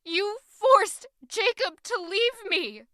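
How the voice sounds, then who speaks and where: Fantasy audio drama: stubborn betrayed young refugee played by a woman, measured, intense, accusatory, piercingly bright, dry recording. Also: very high voice, expressive, dry recording, heavily acted. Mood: bitter, hurt. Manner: intense, accusatory, emotionally charged.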